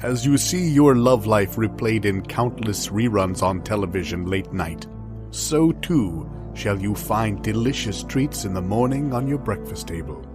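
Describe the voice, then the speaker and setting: A man putting on a slow, solemn mystic oracle. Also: on the low side, expressive. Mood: solemn, portentous. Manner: solemn, drawn-out, portentous. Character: solemn male new-age mystic oracle in mock advert. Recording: new-age music clearly behind. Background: new-age music.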